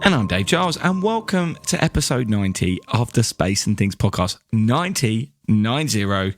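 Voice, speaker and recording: A man's voice: fairly low pitch, very animated, moderate pace, faint music behind. A man with a British accent, co-hosting a podcast in casual chat, enthusiastic and given to emphatic repetition. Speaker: podcast co-host.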